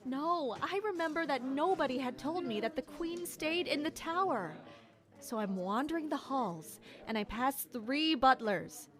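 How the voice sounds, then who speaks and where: A woman's voice: high voice, expressive, measured pace, a crowd in the background. A woman playing a polite, observant royal maid in an audio drama, speaking articulately. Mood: excited, chatty. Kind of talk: audio drama acting with inner-monologue narration.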